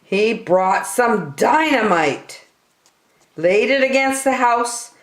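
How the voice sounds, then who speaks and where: A woman in her sixties reading a children's picture book aloud with emphatic, expressive storytelling. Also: a little high, slow. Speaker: story time reader. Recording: roomy home recording.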